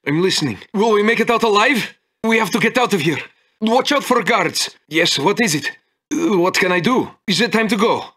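A jittery Soviet chief scientist for a strategy video game. His male voice sits high and is sharp and bright, leaping around in pitch. He sounds timid and hesitant, yet eager and excitable. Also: normal speed, full character voice. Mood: worried. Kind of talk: video game unit voice acting.

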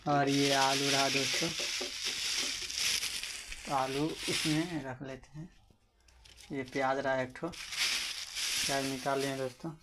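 A man talks through a home cooking vlog in a flat, level voice, narrating every step and calling out to his friends. He is recorded on a handheld phone in a small kitchen. Pots and dishes clatter clearly in the background. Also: medium pitch, takes it slowly, long pauses, heavy background noise. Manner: chatty, keeps addressing friends, narrates each step. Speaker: cooking vlogger. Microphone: handheld phone camera.

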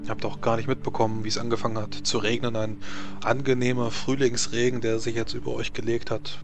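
A man's voice: mid-range voice, level tone, a little fast, music in the background. A man narrating a tabletop roleplaying game as game master. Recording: clear background noise.

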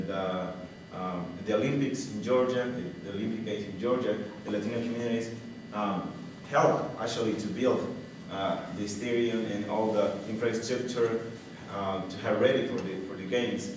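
Low-voiced man presenting in echoey room. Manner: halting, searching for words. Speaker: workshop presenter. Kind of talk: informal spoken presentation. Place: meeting room with brick walls, indoors. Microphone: distant camera microphone. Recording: distant, echoey, noisy room recording.

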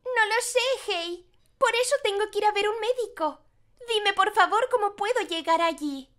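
A woman voicing a cheerful, curious little girl in a preschool cartoon, speaking politely and clearly to small children over children's music. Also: very high-pitched, moderate pace, a bit bright. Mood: polite, thoughtful. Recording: children's music playing behind.

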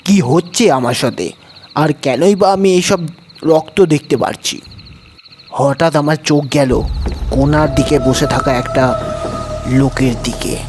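A man's voice: a little high, a little slow, long pauses, darkish tone, a little hiss or hum, close to an everyday voice. A man playing a frightened diner who recounts a haunted hotel in a dramatised horror audio story. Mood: alarmed. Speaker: story narrator and lead actor. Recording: music bed under the voice.

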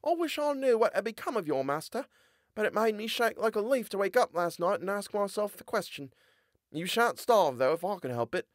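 A man reading aloud and voicing an anxious young male shop boy in a high, boyish tone. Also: big pitch swings, a little fast, dry recording, studio quality. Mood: tender.

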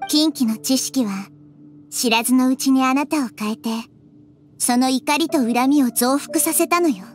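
A small, wise girl goddess in an anime-style game. Her voice is high and her delivery calm and earnest, with faint music underneath. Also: unhurried.

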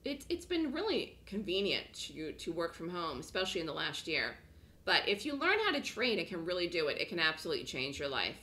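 A woman with a lowish but bright, forward voice runs a webinar from her home office. She sounds slightly hoarse, as if just getting over a cold. Her talk is chatty and repetitive, and she pitches her course with frequent "okay?" checks to her listeners. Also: sing-song, brisk. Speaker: trading course instructor.

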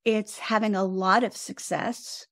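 A seventy-year-old woman with an American accent gives reflective interview answers. She phrases things thoughtfully and haltingly, at a middling pitch. The sound is dry, with no room echo. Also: steady tone, fairly bright tone. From United States. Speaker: podcast guest, composer and author.

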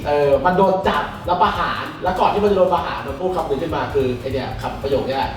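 A man's voice: a little high, very fast. A man explaining things casually and informally in a group chat, using slang. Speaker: podcast panelist. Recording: very echoey room, distant mic, faint music.

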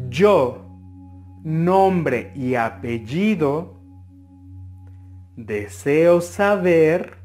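A man with a Mexican Spanish accent reads tarot cards for his viewers in an earnest, advising way. His voice sits a little high for a man, and he often pauses with hesitations. He is recorded close to the mic at a table indoors. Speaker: tarot reader. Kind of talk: interactive tarot reading to camera.

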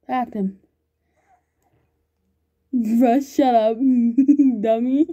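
Excitable young woman gamer. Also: a little high, extremely slow, lo-fi sound. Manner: excitable, calls everyone bro, laughs a lot. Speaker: gamer recording gameplay. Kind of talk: excited gaming banter with a friend.

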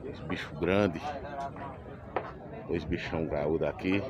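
A man's voice: rumbling low, steady tone, takes it slowly. A middle-aged man, chatting casually to viewers as he walks through outdoor livestock pens. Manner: chatty, pointing things out to viewers. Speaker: vlogger visiting a cattle fair. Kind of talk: casual on-the-spot commentary. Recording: busy outdoor crowd noise. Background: crowd chatter.

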